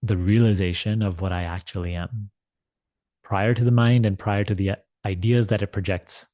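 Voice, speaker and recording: A man teaching a guided meditation, speaking in a deliberate, measured manner with long pauses and repeated phrases. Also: fairly low pitch, fairly even intonation, mellow, dry recording. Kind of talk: guided meditation instruction, spiritual talk.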